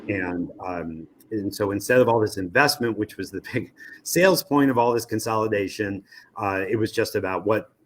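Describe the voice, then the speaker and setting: Interview guest, American man, lowish pitch, industry analyst explaining step by step. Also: normal speed. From United States. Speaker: media research executive, interview guest.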